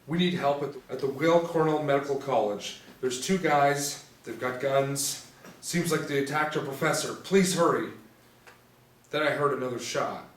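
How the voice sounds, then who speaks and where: A man's voice: mid-range voice, moderate pace, natural voice. A young man acting a scared, reluctant student witness in a scripted table read, hesitant and guarded. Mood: frightened. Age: young adult. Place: small indoor room, seated reading. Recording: echoey room, distant mic, faint noise.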